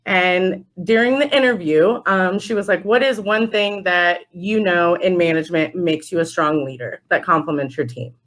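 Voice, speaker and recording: A young adult woman speaking as an interview guest, telling stories casually and acting out quoted conversations in an animated way. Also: fairly low pitch, normal speed, few pauses. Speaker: podcast guest, store general manager.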